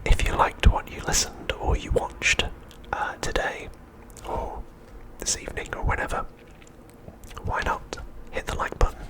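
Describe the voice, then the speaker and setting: A man hosting ASMR in a breathy whisper, speaking in a gentle, soothing and reassuring manner. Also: measured pace, low-quality recording. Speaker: ASMR channel host.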